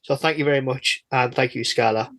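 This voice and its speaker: A man's voice: medium pitch, fairly even intonation, brisk, fairly bright tone, poor audio. A man hosting a casual podcast interview, chatting in a rambling, jokey and self-deprecating way. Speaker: guest host and interviewer of a podcast.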